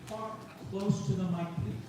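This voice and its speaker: A man with a big, expansive speaking style introduces young guests, his voice a bit higher than most men's. He sounds far from the mic in a very echoey hall with background noise. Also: steady tone, a little slow. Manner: warm, expansive public speaker. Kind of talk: introducing a youth program. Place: large echoey meeting room.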